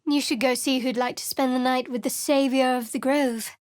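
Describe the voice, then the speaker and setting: Game role, female actor: guarded young woman cleric of a dark faith, wry and controlled, lighter pitch, very dry recording. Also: steady tone, no room sound, close to an everyday voice. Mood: wry, teasing. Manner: measured, wry, secretive. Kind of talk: video game character voice lines.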